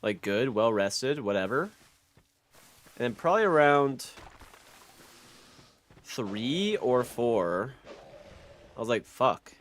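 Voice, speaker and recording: A man's voice: mid-range voice, lively intonation, slow, pauses often. A young man streaming a game with a stuffy nose, chatting casually, repeating phrases and swearing. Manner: repeats phrases, profane, laid-back. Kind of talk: casual live-stream chat. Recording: faint music behind.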